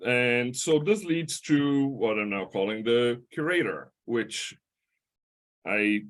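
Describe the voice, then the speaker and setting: A middle-aged man with a Portuguese-speaking accent, a history professor giving an academic lecture, talking in a halting way with many fillers, restarts and tag questions. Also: medium pitch, lively intonation, a bit bright.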